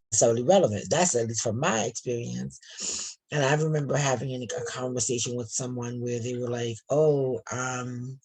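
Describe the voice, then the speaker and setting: A woman with a deep voice, a participant sharing a personal experience in a group discussion, talking in a thoughtful, searching way with many restarts. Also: dark tone, breathy edge, rough recording. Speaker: workshop participant.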